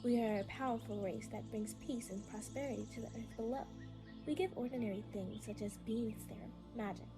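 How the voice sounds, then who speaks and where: A woman's voice: a little high, a little fast, lightly acted. A woman playing a solemn little girl from a powerful otherworldly race, speaking formally and earnestly in a storybook tale. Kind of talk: storybook character dialogue. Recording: music bed under the voice.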